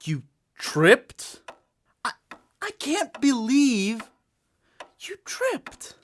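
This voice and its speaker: A woman's voice: medium pitch, exaggerated voice. A woman playing Billy's part as an obsessive, bossy teenage alien hunter, intense and melodramatic, in a comedic audio drama. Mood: wounded outrage.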